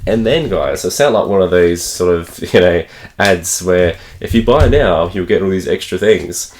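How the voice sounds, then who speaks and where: Aussie bloke, deep voice gone croaky from a cold, chatty and keen, laughs a lot. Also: male voice, normal speed, darkish tone, a little hiss or hum. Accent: Australian. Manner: chatty and enthusiastic, laughs often. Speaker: gaming channel host. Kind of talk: casual unboxing chat to camera.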